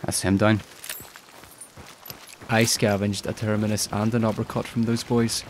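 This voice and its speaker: Low-voiced gamer man over rainy noise. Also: measured pace. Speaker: gaming video creator. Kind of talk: scripted gameplay narration and live team chat. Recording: steady loud background noise. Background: pouring rain and game ambience.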